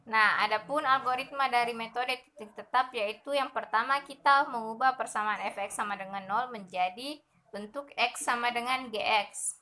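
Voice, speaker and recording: Bright-voiced young woman teaching math. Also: fairly high pitch, moderate pace. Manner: steady explaining with frequent "nah" and fillers. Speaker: math lecturer. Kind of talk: explaining slides in a recorded lesson.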